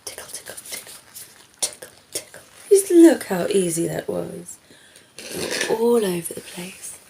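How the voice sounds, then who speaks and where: A woman playing a cheeky, flirtatious female vampire in a soft, teasing ASMR roleplay, often slipping into whispers. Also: fairly low pitch, big pitch swings, unhurried, creaky, a little hiss or hum, full character voice, rough recording. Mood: playful teasing.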